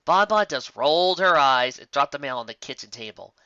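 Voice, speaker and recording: A man narrates a written story aloud. His voice is bright and very animated in pitch. The recording is close and dry but slightly dull. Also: fairly quick, clear, resonant tone, no room sound. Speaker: story reader. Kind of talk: scripted narration of fan fiction.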